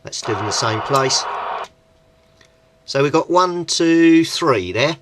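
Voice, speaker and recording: A man's voice: on the high side, animated, takes it slowly, a bit bright, a little hiss or hum. A British man giving an informal, hands-on tutorial, explaining things step by step and repeating the key points. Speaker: CB radio hobbyist giving a tutorial. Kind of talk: informal hands-on instructional talk.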